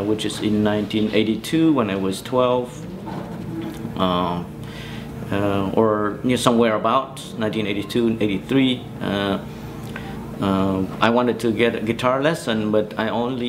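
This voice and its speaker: A man in his forties from Vietnam with a Vietnamese accent, recounting memories in a halting, hesitant way as he searches for words in an interview. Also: on the low side, noisy background. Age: 40s. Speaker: interviewee, musician. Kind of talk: oral history interview, personal recollection. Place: small bedroom, indoors.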